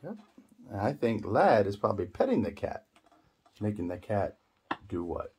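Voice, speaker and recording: A man's voice: fairly low pitch, big pitch swings, moderate pace, darkish tone, slightly rough. A middle-aged man guiding young children through reading, explaining in short simple phrases and prompting with questions. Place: small indoor room at a table.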